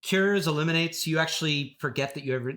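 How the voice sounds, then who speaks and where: A man's voice: on the high side, fast, a bit bright, natural voice. A man playing an earnest, oversharing wellness influencer in an improvised comedy interview. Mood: salesy, sincere. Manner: peppers talk with spoken hashtags. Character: earnest, oversharing male wellness influencer selling quack remedies.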